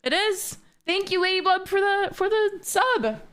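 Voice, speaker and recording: Bubbly twenties woman streamer, high bright voice, squealy, excitable. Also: unhurried. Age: 20s. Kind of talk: casual livestream chat. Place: her bedroom.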